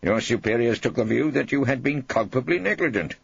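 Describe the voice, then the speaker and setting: A man's voice: medium pitch, animated, brisk, creaky, breathy edge, dry recording, dull audio, voice pushed up. A man voicing a combative, irreverent old English barrister in an audiobook, theatrical and relentless, with sardonic cross-examining. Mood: hectoring. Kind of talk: audiobook character acting.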